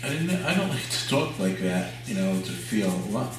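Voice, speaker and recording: An older man, giving a relaxed painting demonstration at his easel and thinking aloud with fillers and restarts. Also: lowish voice, normal speed, over music. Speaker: painting instructor. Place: art studio at an easel, indoors. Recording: very echoey room, mic far off, audible noise.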